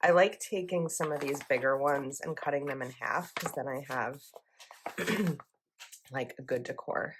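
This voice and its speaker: Young woman vlogger, low voice, slightly froggy, rambling and casual. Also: unhurried, noisy background. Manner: chatty, rambling with likes and ums. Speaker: planner vlogger. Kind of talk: casual chat while decorating a planner.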